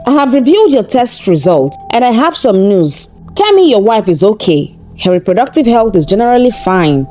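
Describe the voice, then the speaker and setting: A woman voicing a concerned male doctor in a folktale, steady and somber. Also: a little high, normal speed, faint music behind. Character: serious, concerned male doctor, gently grave.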